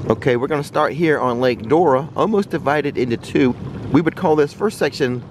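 A man's voice: on the high side, fairly quick. An American man vlogging from a small boat on a lake, upbeat and joking. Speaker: travel vlogger. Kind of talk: casual chat. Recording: boat engine and water noise.

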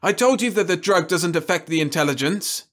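Male reader as volatile stranded spaceman, shouty, agitated, sci-fi storybook. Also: high voice, animated, a little fast, voice pushed up. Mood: angry. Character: bitter, volatile man stranded on asteroid. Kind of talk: storybook reading.

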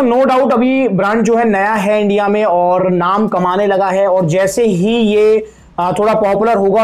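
A man with an Indian Hindi accent hosting a chatty supplement review, speaking straight to his viewers as friends and pausing often on fillers. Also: sits high, darkish tone. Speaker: supplement reviewer, channel host. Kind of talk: product review talk to camera.

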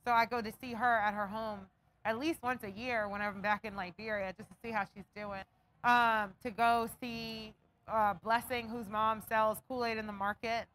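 A woman's voice: fairly high pitch, steady tone, normal speed, a bit bright, poor audio. A woman in her thirties with an American accent, talking conversationally and reflectively in a documentary interview. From raised outside Atlanta, United States. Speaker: fashion business owner. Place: inside a clothing shop. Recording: noticeable background ambient noise.

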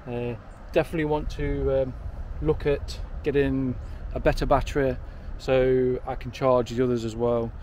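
A man's voice: mid-range voice, flat delivery, normal speed, hardly any pauses, darkish tone. Guy in his 40s rambling upbeat to his camera outside, bit of background noise. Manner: rambling, self-correcting, upbeat. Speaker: drone vlogger. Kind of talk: casual vlog to camera. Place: outdoors by a railway station, windy. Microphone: handheld camera at arm's length.

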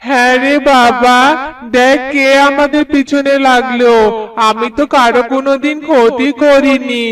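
A man voicing an affectionate, anxious elderly village matriarch in a cartoon story, with a drawn-out, pleading way of talking. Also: very high voice, level tone, fairly bright tone, recorded from a distance. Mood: grieving. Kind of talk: Bengali cartoon story acting.

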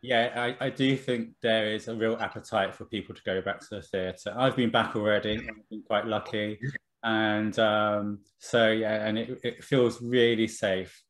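A man's voice: fairly low pitch, steady tone, unhurried, a bit bright. A man interviewing a guest over a video call from a room at home, friendly and encouraging with light teasing.

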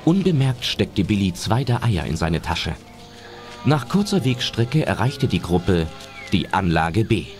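Low-pitched, expressive male narrator. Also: unhurried, soft background music. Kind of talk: scripted audio drama narration.

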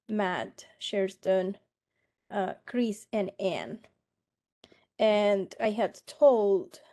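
A woman from Mexico, giving a slow, earnest personal testimony over a video call. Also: mid-range voice, warm tone. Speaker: survivor advocate, guest speaker. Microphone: laptop webcam on a video call.

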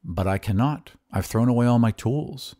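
A man's voice: medium pitch, normal speed, warm tone, close to an everyday voice. A man voicing a poor, lovelorn glassblower in a storybook reading, earnest in manner. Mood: gloomy, indifferent.

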